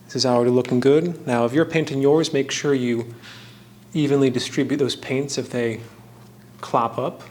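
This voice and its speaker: A man narrating a hobby craft tutorial casually, sharing fun facts with jokey asides in short, halting phrases. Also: medium pitch, steady tone, a little mellow. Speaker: host of a hobby craft video. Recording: clear background noise, room ambience.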